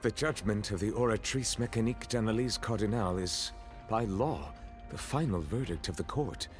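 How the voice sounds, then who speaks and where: A man playing a stern, composed chief judge in a video game, formal, grave and measured. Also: fairly low pitch, soft background music, close to an everyday voice.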